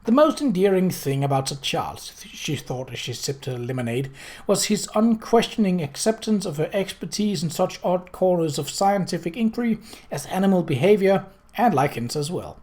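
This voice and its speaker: Measured novel narrator with a mid-pitched voice. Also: animated, a little mellow. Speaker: audiobook narrator.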